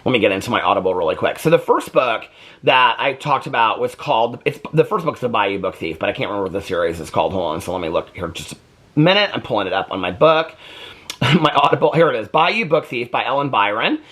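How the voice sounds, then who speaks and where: A man's voice: mid-range voice, expressive, fast talker, fairly bright tone. A man chatting casually and unscripted to viewers as a book vlogger, rambling along with emphatic retellings and quoted asides. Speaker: book vlogger and book club host.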